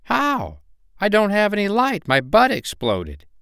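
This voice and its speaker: Man doing a high-pitched, bewildered little firefly. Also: big pitch swings, a little slow, fairly bright tone, dry recording, studio quality. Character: small, naive male firefly, earnest performer.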